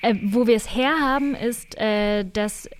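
A woman's voice: a little high, a little slow, faint music behind. A woman interviewing conversationally, feeling her way somewhat hesitantly through what she wants to say.

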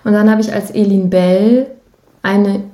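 A woman's voice: medium pitch, steady tone, measured pace, mellow. A woman speaking as an interview guest in casual chat, hesitant and thoughtful in how she puts things. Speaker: podcast guest, musician and songwriter.

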